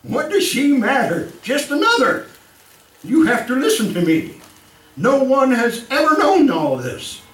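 An actor playing a boastful, cunning mad serial killer in old-time radio horror style, with a chuckling, halting, sinister delivery. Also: male voice, extremely high pitch, sing-song, heavily acted. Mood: agitated, imperious. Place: live theatre stage performance. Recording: echoey live stage recording, distant mic, music.

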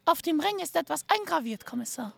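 A melodramatic lady witness in a crime radio play. Her voice sits extremely high with a bright, piercing edge and some creak. She is fussy and theatrical, stretching her words. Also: animated, brisk, no room sound, heavily acted. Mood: curious. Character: fussy, melodramatic female witness, shrill and guiltily hesitant. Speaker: actor in an audio drama. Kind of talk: hobby German crime audio drama acting.

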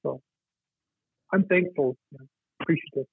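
Husky man on a tinny phone line. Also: a little high, dry recording. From Navajo Nation. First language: Navajo. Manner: halting, with many fillers and restarts. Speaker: guest. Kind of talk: reflective casual interview talk.

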